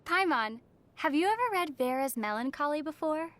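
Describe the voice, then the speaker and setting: A woman voicing a young female adventurer in a video game, gentle and lightly teasing in manner. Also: high voice, animated, normal speed. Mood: curious. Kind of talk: video game character acting.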